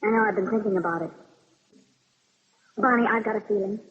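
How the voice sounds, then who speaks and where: Young army nurse, American, breezy, wisecracking, 1950s radio play, long reverb, thin band. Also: female voice, mid-range voice, a little fast, husky. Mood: uneasy, brooding. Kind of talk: 1950s radio drama acting. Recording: old radio broadcast, narrow, noisy, reverberant.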